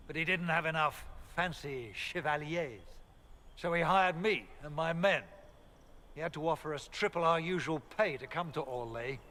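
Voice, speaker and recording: An actor with a British accent playing a blunt, exasperated, foul-mouthed mercenary captain in a fantasy video game. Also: male voice, on the high side, sharp tone, slight background noise.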